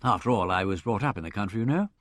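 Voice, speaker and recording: A man voicing a wry, understated aristocrat, speaking lower and more quietly. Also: expressive, rapid-fire, dry recording. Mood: dry. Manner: dry, cautious, understated. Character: urbane, wry English aristocrat sleuth.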